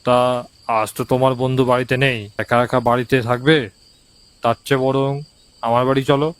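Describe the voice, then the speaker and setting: A man playing a calm, dismissive villager in a horror cartoon. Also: medium pitch, brisk, clear, resonant tone, no echo, close to an everyday voice.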